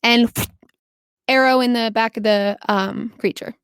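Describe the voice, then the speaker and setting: A woman's voice: a little high, fairly even intonation, normal speed, clean tone, no echo, clean studio sound. A woman acting as game master, narrating a tabletop role-playing game in a casual, improvised way and encouraging the players. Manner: encouraging, affirming players with short praise.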